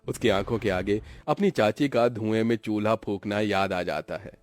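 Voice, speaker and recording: A man's voice: lowish voice, moderate pace, no room sound. A man narrating a cartoon family story in a dramatic scripted storytelling style.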